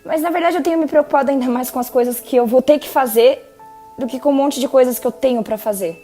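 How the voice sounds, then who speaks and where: A tired, anxious young patient. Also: female voice, high-pitched, quick pace, mellow, soft background music. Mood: weary. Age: teens. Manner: hesitant, unsure replies. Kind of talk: audio drama acting.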